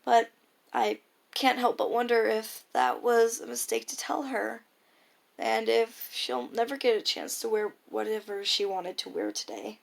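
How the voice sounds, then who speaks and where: A woman's voice: on the high side, unhurried, gravelly. A teenage girl recording a casual, reflective audio diary, musing hesitantly and trailing off at times. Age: teenager, high school freshman. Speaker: personal audio diarist.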